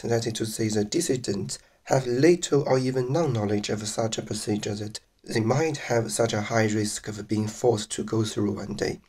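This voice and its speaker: A man from mainland China, a native Chinese speaker with a Chinese accent, narrating slides on legal procedure in a careful, measured way with some restarts. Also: medium pitch, darkish tone, slightly rough.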